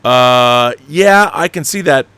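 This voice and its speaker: A man's voice: mid-range voice, animated, slow pace, bright, forceful tone, clean tone, no echo. Man chatting casually as a stream host. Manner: opinionated, rambling, conversational. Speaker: live stream host answering viewer messages. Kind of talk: casual off-the-cuff chat, reading out viewer comments.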